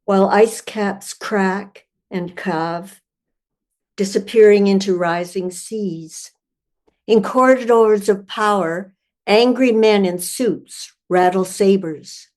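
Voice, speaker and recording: Older woman slowly reading poetry. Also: mid-range voice, a little mellow. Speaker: writer reading own poem.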